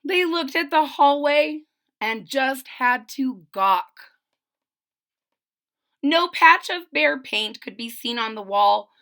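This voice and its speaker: A woman's voice: high-pitched, slow pace. A woman reading a rhyming children's picture book aloud, with chatty asides to her listeners. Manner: expressive, chatty asides to listeners between rhymes. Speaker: read-aloud storyteller.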